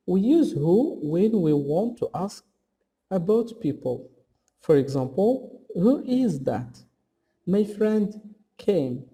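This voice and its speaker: Male English teacher, very mellow dark tone, unhurried didactic delivery of scripted grammar material. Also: high voice. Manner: deliberate, didactic, reading out example questions and answers. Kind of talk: scripted grammar lesson narration. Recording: long hall-like reverb on the voice.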